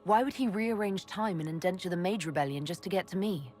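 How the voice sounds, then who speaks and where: An actress playing a guarded, level-headed heroine in a video game, direct and questioning in manner. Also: female voice, lowish voice, animated, brisk, darkish tone, natural voice. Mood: skeptical. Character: composed, guarded heroine leading an inquisition. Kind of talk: video game character acting. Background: music.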